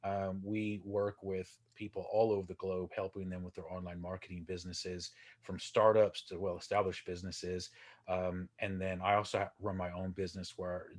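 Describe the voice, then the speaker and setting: A man's voice: sits low, level tone, normal speed. A man, a podcast guest and coach talking casually, chatty and self-deprecating with many fillers and restarts. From Texas, USA. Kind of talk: casual interview talk.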